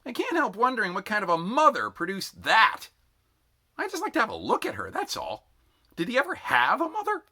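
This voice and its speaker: A man reading a story, voicing a sick, exhausted young male recruit who complains in a bitter, drawn-out, strained whine. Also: high voice, wildly swooping pitch, bright, forceful tone, pitched above the performer's own voice, exaggerated voice. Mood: resentful.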